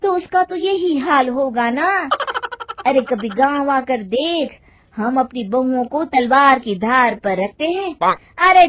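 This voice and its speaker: A woman doing a sly, high-voiced scheming old aunt. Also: background noise. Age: older. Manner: sly, coaxing, needling. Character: scheming meddlesome older village aunt. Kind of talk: animated storybook voice acting.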